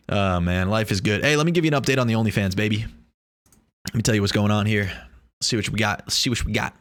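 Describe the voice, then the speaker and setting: A young man rambles through a casual vlog. He jokes, brags and swears freely, and his voice sits a little low. It is recorded close and dry, with no room sound. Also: fairly quick, pauses often, a bit bright, no room sound. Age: 20s. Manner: joking, boastful, swears a lot. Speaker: vlogger. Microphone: lav or shotgun mic.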